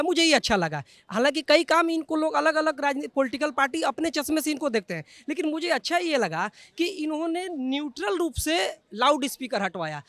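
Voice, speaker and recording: Young male interviewee, high-pitched, explanatory with English words mixed in, little room echo. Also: fairly bright tone. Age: young adult. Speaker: member of the public being interviewed. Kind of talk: street interview opinion.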